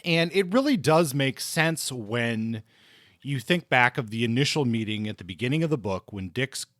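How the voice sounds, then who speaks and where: A man's voice: mid-range voice, expressive, normal speed, a bit bright, no room sound, clean studio sound. A man co-hosting a book discussion podcast, talking casually and thinking aloud as he goes. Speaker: podcast co-host discussing books.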